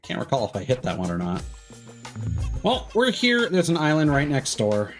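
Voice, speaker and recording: Streamer with a swooping, animated delivery. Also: mid-range voice, moderate pace, soft background music. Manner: chatty, thinking aloud. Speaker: game streamer. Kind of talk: casual live gameplay commentary, reading game dialogue aloud.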